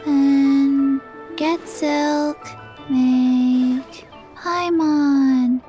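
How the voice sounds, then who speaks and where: A woman voicing a forgetful little girl in a video game, speaking in a halting, deadpan way. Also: high voice, soft background music. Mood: blank, sleepy.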